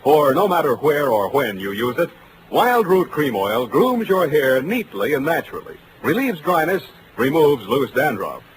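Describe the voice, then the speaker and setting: A man's voice: fairly high pitch, very animated, moderate pace, a little hiss or hum, low-quality recording. An American man announcing on 1940s radio with a polished sales delivery. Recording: vintage radio broadcast.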